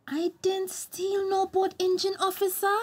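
A woman's voice: very high voice, monotone, a little slow, dry recording. An actress playing an anxious, indignant young woman wrongly accused in a radio drama, with a Tobagonian accent.

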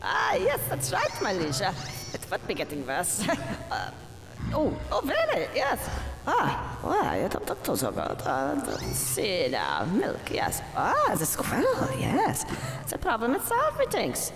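A man's voice: high voice, huge pitch swings, takes it slowly, gravelly, heavily acted. An actor playing a scheming old male professor in a video game, wheedling and sly with old-fashioned speech. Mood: fawning. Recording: reverberant with game music behind.

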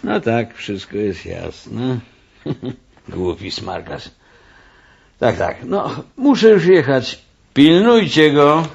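A man acting a brusque, self-assured police inspector in a radio play, commanding and condescending, with drawn-out emphasis. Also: medium pitch, lively intonation, vocal fry, slightly rough, lo-fi sound, exaggerated voice. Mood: smug, confident.

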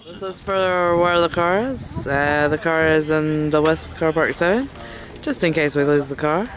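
A woman's voice: low voice, moderate pace. A woman in her thirties chatting casually to camera as a family vlogger, teasing and narrating as she goes, into a phone held at arm's length. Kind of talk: casual vlog chat to camera.

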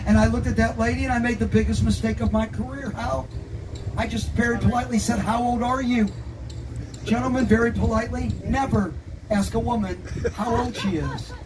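Folksy missouri guy doing a cookware demo in a fair tent, high voice, crowd noise and sound from farther back. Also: steady tone, brisk, recorded from a distance. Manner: folksy, joking salesman banter with the crowd. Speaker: cookware demonstrator. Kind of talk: live sales demonstration with anecdotes. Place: open-sided tent at an outdoor fair.

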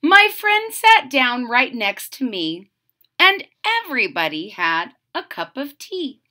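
Animated woman reading a picture book. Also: high-pitched, slow pace. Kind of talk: expressive children's read-aloud. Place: small room at home.

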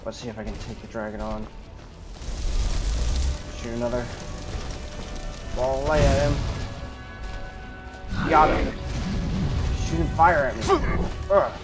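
A man's voice: medium pitch, flat delivery, slow, long pauses. A man giving in-character gameplay commentary, mixing muttered asides with battle cries. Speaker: gamer playing in character. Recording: game music behind the voice. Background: loud video game music.

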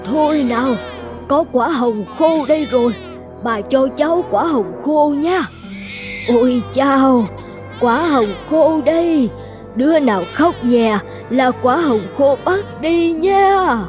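A woman playing a doting old village grandmother in an animated folk tale, coaxing a child in a tender singsong. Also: high-pitched, slow, music in the background. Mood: tender, soothing.

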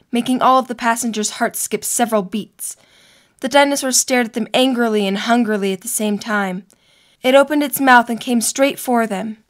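A woman narrating a scripted story for an audio drama. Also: a little high.

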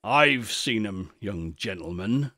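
A man's voice: on the low side, animated, slow, bright, forceful tone, pitched below the performer's own voice, full character voice. A man voicing a superstitious old shepherd in a storybook, with a rustic English country dialect and a grave, folksy, emphatic way of warning. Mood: grave, eerie.

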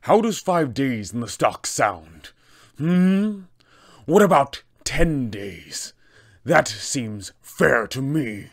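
A man voicing a huge, bullying fantasy town enforcer for a tabletop game, threatening, deliberate and commanding. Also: mid-range voice, sing-song, full character voice. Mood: mocking menace. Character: towering, menacing, bullying town enforcer with demon arm.

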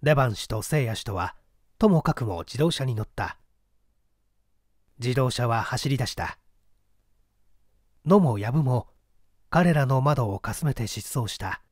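A man's voice: mid-range voice, expressive, measured pace, long pauses, dry recording, clean studio sound. A man narrating a literary story as an audiobook reader, in a scripted style.

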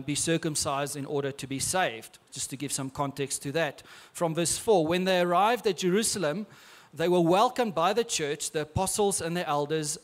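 A man in his thirties with an Afrikaans accent, preaching a sermon on a church stage into a handheld mic in a relaxed, conversational way with restarts. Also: fairly high pitch. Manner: conversational, with frequent filler and repeated words. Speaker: pastor. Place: large church stage, indoors.

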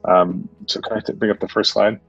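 A man with a very low voice gives a casual, halting webinar talk, fillers scattered through his sentences. The sound is dull and dry, as video-call audio often is. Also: level tone, creaky, fairly bright tone, breathy edge, dry recording, faint music behind. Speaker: guest speaker, tech entrepreneur. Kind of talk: webinar presentation.

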